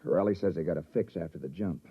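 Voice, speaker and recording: Fretful grumbling male space crewman, lowish voice, dull 50s radio drama sound. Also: fast, husky. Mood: gloomy, fretful. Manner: downbeat, grumbling. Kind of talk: 1950s radio drama acting. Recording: dull vintage radio recording.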